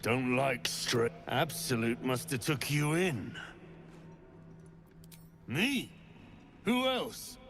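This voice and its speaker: Menacing game dwarf, swaggering, lively intonation, unhurried pace, light music behind. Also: male voice, medium pitch, heavily acted. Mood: boastful, menacing. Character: boastful, cruel dwarf clansman guarding his spiders.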